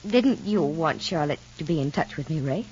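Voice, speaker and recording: A muffled old radio recording of an anxious, probing older matriarch in a 1950s soap. The woman's voice is husky and rises and falls expressively. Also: sits low, animated, normal speed, a little mellow. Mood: worried, hurt. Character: worried, probing older matriarch, easily hurt.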